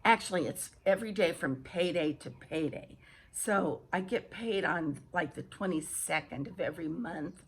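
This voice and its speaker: Female vlogger, low husky morning voice, rambling informal chat with halting restarts. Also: expressive. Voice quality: husky, hoarse. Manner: halting, repeats and restarts phrases. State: early-morning hoarse voice. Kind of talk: casual rambling chat to viewers. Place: outdoor home patio.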